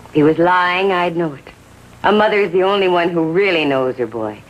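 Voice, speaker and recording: A woman's voice: fairly low pitch, a little fast, dull audio, lightly acted. An actress playing a doting, trusting American mother, polite and protective of her son, in a 1950s drama. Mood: insistent, trusting. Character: doting, trusting mother of a teenage gang leader. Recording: vintage recording, faint background noise.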